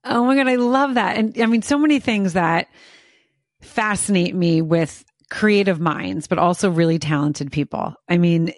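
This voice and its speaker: Chatty woman podcast host, low voice. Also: normal speed, no room sound, clean studio sound. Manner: chatty, self-deprecating, enthusiastic. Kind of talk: casual interview.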